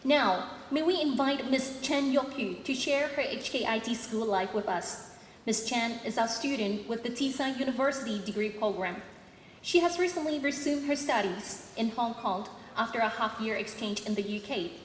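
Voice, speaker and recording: Woman emcee with a higher voice. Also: normal speed, a little hiss or hum. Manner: formal, announcing. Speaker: master of ceremonies. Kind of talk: formal emceeing. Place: large auditorium. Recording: echoey hall, long reverb.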